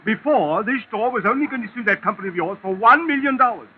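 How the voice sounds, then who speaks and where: High-voiced, fussy store manager. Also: male voice, lively intonation, fast talker, crowd noise. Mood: indignant, flustered. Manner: fretful, indignant, fussy. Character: fussy, flustered male department store manager, anxious. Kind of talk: 1940s radio drama acting. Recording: dull, noisy old radio recording.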